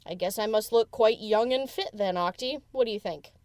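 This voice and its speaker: A woman's voice: medium pitch, moderate pace, darkish tone, dry recording, lightly acted. A woman voicing a brash, teasing young female pony DJ in a fan audio story, with casual, slangy delivery. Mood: cheeky. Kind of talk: acted fan fiction audio drama.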